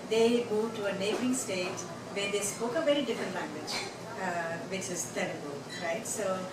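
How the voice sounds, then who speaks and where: A woman's voice: mid-range voice, normal speed. Indian lady rambling through a story on stage, lots of "right?", roomy echo, sounds far off, noisy. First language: Tamil. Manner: chatty, rambling, many fillers and "right?" asides. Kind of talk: live personal storytelling. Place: bar venue. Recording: echoey venue, mic from a distance, noisy.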